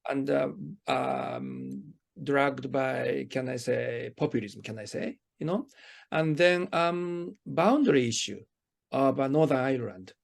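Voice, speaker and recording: A man's voice: medium pitch, takes it slowly, vocal fry. A man with a Japanese accent, interviewing in a hesitant, roundabout way and feeling for his phrasing. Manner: hesitant, circling phrasing with hedged questions. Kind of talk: conversational phone interview.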